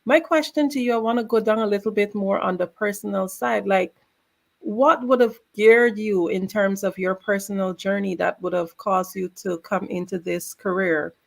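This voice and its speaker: Woman from guyana, gravelly voice, enthusiastic co-host questions. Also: medium pitch, fairly even intonation, moderate pace. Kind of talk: interview question.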